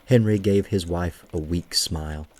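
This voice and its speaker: A man narrating a scripted story for an audio drama, with a husky voice and a measured, gentle storytelling manner. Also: deep voice.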